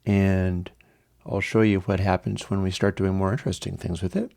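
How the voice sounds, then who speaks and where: A hoarse man casually walking through an audio plugin demo, talking in a relaxed way with many fillers and pauses. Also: low-pitched, no echo, clean studio sound. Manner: relaxed, hesitant, with frequent fillers. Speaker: plugin developer demonstrating audio software. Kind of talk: casual tutorial walkthrough.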